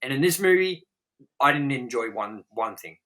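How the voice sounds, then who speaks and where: Blunt, bright-voiced man, sweary and emphatic. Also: mid-range voice, expressive, normal speed. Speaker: co-host of a film review show. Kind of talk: casual opinionated film review chat.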